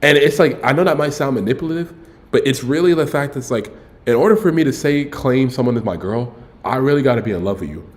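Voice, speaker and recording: A man's voice: medium pitch, expressive, quick pace. A man in his early twenties telling a rambling story to his stream viewers in a casual, slangy way, often correcting himself and tossing in "bro.". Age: 20s. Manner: laid-back, frequent "bro" asides.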